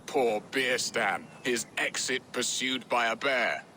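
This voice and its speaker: A man's voice: medium pitch, takes it slowly, pushed and bright, a little hiss or hum. A man playing a theatrical, self-mocking Saxon lord in a game cutscene, with florid, wry speech. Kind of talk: dramatic game cutscene acting.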